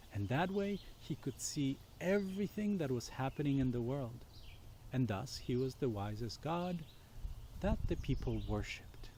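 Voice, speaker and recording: Slow-paced man telling children's stories. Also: medium pitch, a little mellow, a little hiss or hum. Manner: deliberate, explains and puts questions to listeners. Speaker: children's storyteller. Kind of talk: storytelling for children.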